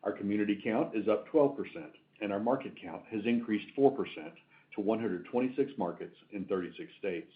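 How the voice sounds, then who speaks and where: A man's voice: lowish voice, a little fast. A man delivering prepared financial figures as a company executive on an earnings call. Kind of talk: scripted financial presentation. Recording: tinny phone-like conference line.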